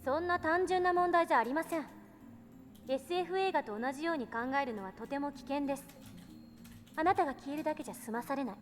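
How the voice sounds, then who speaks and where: A woman's voice: high-pitched, rapid-fire, faint music behind, close to an everyday voice. A woman voicing a composed, intellectual eighteen-year-old female scientist in a visual novel game, lecturing precisely and assertively. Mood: serious, insistent.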